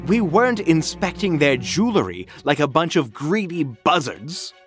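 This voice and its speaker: A man's voice: fairly high pitch, big pitch swings, measured pace. A man playing a smug, sardonic, theatrical mage in a fantasy audio drama. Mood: indignant. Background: faint music.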